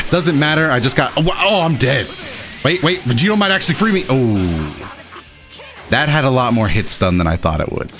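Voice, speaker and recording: A young adult man giving excitable, self-deprecating live commentary over his own gameplay as the host. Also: medium pitch, moderate pace, over music. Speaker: gaming video host.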